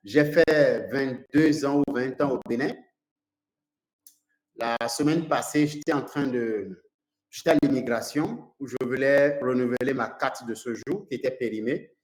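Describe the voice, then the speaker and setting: Nigerian man teaching a seminar, echoey room. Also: medium pitch. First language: English. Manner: halting, with many fillers and repeated words. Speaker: business consultant and coach. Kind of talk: seminar talk to a live audience.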